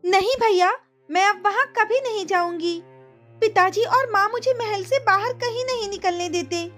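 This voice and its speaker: A woman's voice: very high voice, normal speed, a bit bright, faint music behind, voice pushed up. A woman voicing a stubborn, hurt young princess in a cartoon story, with a childlike, insistent way of complaining. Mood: hurt, defiant.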